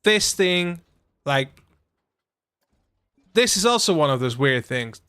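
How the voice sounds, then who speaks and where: A young man from the Netherlands hosting a relaxed game livestream, rambling with lots of fillers and asides to his chat. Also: on the high side, takes it slowly, clean tone. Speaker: livestream host. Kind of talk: casual live-stream chat while playing a game.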